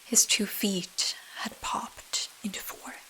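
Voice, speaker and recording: A woman narrating a bedtime story in soft, breathy, soothing ASMR style. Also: mid-range voice, steady tone, rough recording. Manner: slow, soothing. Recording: rain sounds behind the voice. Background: rain.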